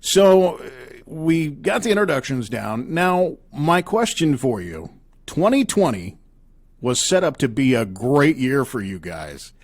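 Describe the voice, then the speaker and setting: A man's voice: medium pitch, very animated, measured pace. Male music radio host, middle register, conversational interviewer delivery. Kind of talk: upbeat music interview hosting.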